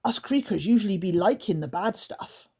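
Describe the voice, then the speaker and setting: A woman's voice: mid-range voice, animated, normal speed, mellow, breathy edge, pitched above the performer's own voice. A woman voicing Guff, an eager, mischievous male night creature who speaks in broken grammar.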